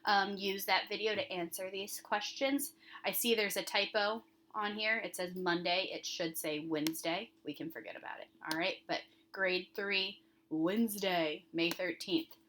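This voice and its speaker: A woman's voice: medium pitch, fairly even intonation, moderate pace, a bit bright, slight background noise. A woman speaking as an elementary school teacher, reading comprehension questions aloud and addressing children directly with instructive emphasis on key words.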